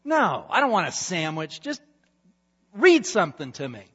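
A man voicing a vain, forgetful, self-important ancient king who booms and rushes his words. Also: huge pitch swings, fairly bright tone, husky, full character voice. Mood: demanding. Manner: booming, impatient, self-important. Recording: dull reverberant hall sound.

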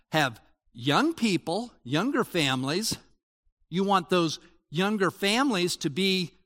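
A high-voiced man preaching in a church, his pitch swinging up and down as he explains scripture. His delivery is conversational, full of restarts, and the sound rings with long hall reverb. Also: a bit bright. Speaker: pastor. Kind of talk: sermon.

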